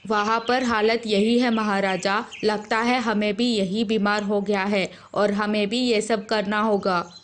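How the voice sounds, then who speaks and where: Wolf messenger woman performing, children's fable: earnest, deliberate, unhurried, lightly high voice. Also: slight background noise. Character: male wolf messenger bringing grave warning to king. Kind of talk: animated children's story character.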